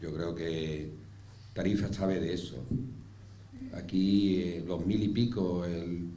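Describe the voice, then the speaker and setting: A man speaking off the cuff as a panelist, haltingly, repeating words and pausing mid-sentence. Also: sits low, steady tone, warm tone. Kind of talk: extemporaneous panel speech. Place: indoor round-table conference room. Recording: echoey room with faint noise.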